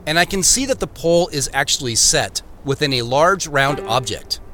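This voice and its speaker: An actor playing the first-person narrator of a mystery audio drama, telling it in a measured, descriptive way. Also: male voice, on the high side, animated, pushed and bright, a little hiss or hum. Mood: intent, suspenseful.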